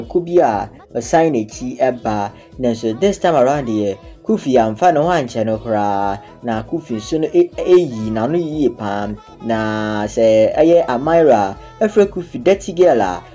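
A man's voice: medium pitch, warm tone. A man with a Ghanaian accent narrating an informal recap of a TV series. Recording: music bed under the voice. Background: background music.

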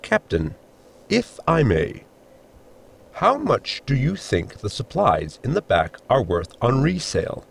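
An actor playing a dry, dutiful ship robot servant with a formal, precise, deadpan manner in a sci-fi comedy audio drama. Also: male voice, mid-range voice, slow, vocal fry, slightly rough, heavily acted. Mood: precise, deferential.

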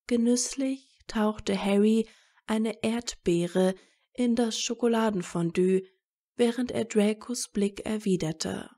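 A woman narrating scripted fan fiction as a story, in a measured storytelling manner. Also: medium pitch, creaky, dark tone.

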